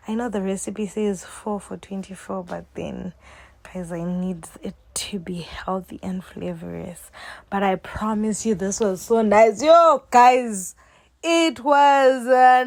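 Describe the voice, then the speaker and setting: A woman's voice: medium pitch, no echo. A young woman with a Zimbabwean accent hosting a casual home-baking vlog, playful and jokey, laughing often and talking straight to her viewers. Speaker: cooking vlogger.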